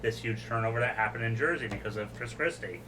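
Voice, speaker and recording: A man's voice: medium pitch, fast talker, recorded from a distance, background noise. A man, a firefighter, co-hosting a podcast and chatting casually about his work. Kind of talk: casual chat.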